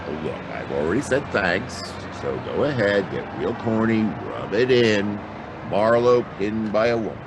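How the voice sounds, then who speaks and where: A man's voice: fairly low pitch, very animated, measured pace. A man playing a wry hard-boiled private detective in a radio drama, with sardonic, clipped, slangy delivery. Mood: sardonic, urgent. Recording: noisy track with outdoor city ambience.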